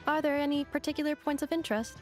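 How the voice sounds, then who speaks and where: A woman's voice: high-pitched, steady tone, soft background music, lightly acted. A woman voicing a polite, analytical young female robot companion in a video game, precise and formal in delivery. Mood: calm, helpful. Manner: precise, formal, measured and matter-of-fact.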